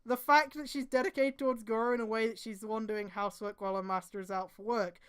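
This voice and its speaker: High, clear-voiced woman streamer. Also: fairly even intonation, fast talker. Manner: exasperated, sweary asides. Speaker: streamer reading fan fiction aloud. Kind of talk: live read-aloud with mocking commentary.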